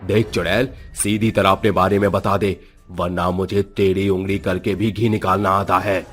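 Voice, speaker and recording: A man's voice: deep voice, level tone, normal speed, fairly bright tone, soft background music. A man voicing a stern, confident village holy man in a cartoon horror story, commanding and solemn. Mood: challenging.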